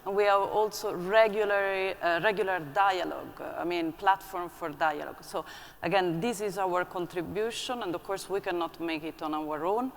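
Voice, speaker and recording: A panelist at a conference giving measured remarks and listing points. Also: medium pitch, fairly bright tone. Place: conference hall. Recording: long hall reverb, faint room noise.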